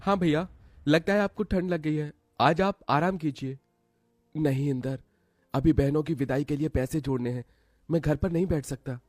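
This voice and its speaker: Man acting an earnest, higher-voiced young brother. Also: normal speed, no echo, close to an everyday voice. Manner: earnest, emotional. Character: self-sacrificing, protective poor young brother.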